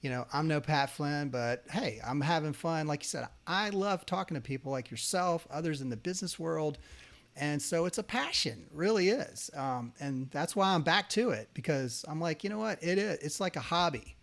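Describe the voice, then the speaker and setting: A man's voice: on the high side, expressive, normal speed, gravelly, fairly bright tone. A man hosting a podcast, chatting in a casual, enthusiastic way and talking about his own experience. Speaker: podcast host. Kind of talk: casual interview chat.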